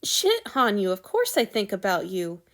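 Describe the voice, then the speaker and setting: A woman's voice: medium pitch, wildly swooping pitch, moderate pace. A woman voicing a brusque, grumbling male god in a storybook audiobook. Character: gruff male god, lord of the gods, brusque but tender.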